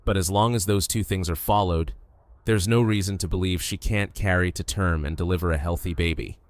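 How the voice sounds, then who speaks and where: A man playing a calm, reassuring doctor in an audio drama, explaining in a measured, clinical way. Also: low-pitched, no echo, close to an everyday voice. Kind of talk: full-cast audio drama acting.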